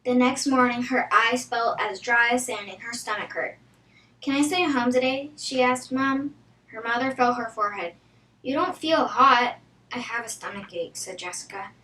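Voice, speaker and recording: A female reader with a high-pitched voice reads a children's storybook. She sounds set back from the microphone in a very echoey room. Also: moderate pace, sharp tone, recorded from a distance. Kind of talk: reading a children's storybook aloud.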